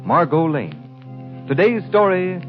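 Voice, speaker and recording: Animated 1930s radio announcer, muffled. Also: male voice, on the high side, takes it slowly, loud music behind the voice.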